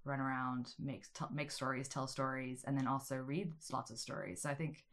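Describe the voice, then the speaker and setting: Woman guest from South Africa, 30s, very deep voice, thoughtful and meandering, loose casual interview delivery. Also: steady tone, moderate pace. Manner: rambling, many restarts and ums. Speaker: guest, media studies teacher. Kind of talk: conversational interview answers.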